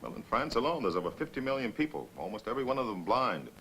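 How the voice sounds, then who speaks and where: An actor in a 1960s film drama playing a blunt, pragmatic survivor who speaks firmly. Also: male voice, mid-range voice, sing-song, quick pace, sharp tone. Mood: grim, insistent. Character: blunt, pragmatic male survivor urging escape. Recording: noisy old 1960s film soundtrack.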